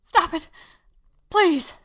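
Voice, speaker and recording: A woman playing a frightened, freezing young woman in an audio drama, pleading haltingly. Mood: terrified.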